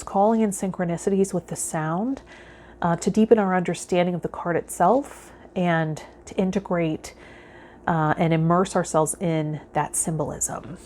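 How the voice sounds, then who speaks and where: A woman hosting a spiritual vlog, explaining in a measured, reflective, encouraging way, like a calm guide. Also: lowish voice, a little hiss or hum. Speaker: host of a tarot vlog. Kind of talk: calm guided explanation, part read, part informal.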